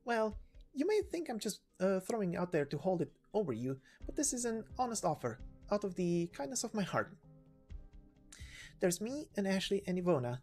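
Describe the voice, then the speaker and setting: A woman voicing a chatty, warm-hearted young female knight in a game story, breezy and informal. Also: mid-range voice, big pitch swings, normal speed, faint music behind, voice pushed up. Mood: earnest, inviting. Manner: breezy, informal, hesitating as she talks.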